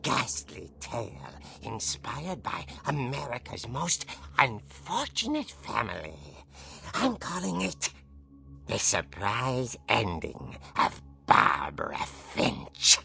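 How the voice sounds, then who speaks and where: A woman's voice: a bass voice, big pitch swings, vocal fry, sharp tone, breathy edge, full character voice. A woman voicing a ghoulish old storyteller from a horror comic in a video game, theatrical and gleefully macabre. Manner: theatrical, drawn-out delivery. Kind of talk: campy horror narration.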